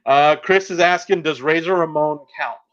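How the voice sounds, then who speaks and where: A man co-hosting a podcast in casual group chat, speaking haltingly as he thinks aloud. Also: a little high, a bit bright. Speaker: podcast co-host. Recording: dull, lo-fi voice chat audio.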